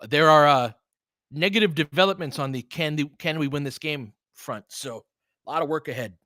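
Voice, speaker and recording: A man's voice: fairly high pitch, normal speed, bright, forceful tone, no echo, studio quality. A man doing casual live basketball play-by-play as a stream host, speaking conversationally in short, clipped phrases. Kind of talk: casual live basketball commentary with fan chat.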